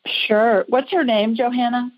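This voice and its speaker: A woman's voice: fairly high pitch, steady tone, measured pace. Chatty American woman hosting, slightly dull audio. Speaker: host, psychic call-in reader. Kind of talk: conversational call-in show readings.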